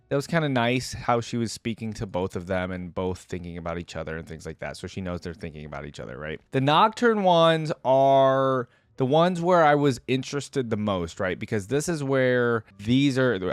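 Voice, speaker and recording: A woman's voice: rumbling low, dry recording. A woman hosting a casual reaction video, chatty and musing aloud into a close mic.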